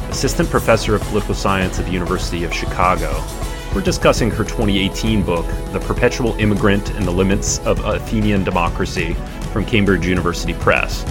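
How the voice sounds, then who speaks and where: A man hosting an academic interview podcast, speaking with frequent hesitations and repeated words. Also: low voice. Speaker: podcast host.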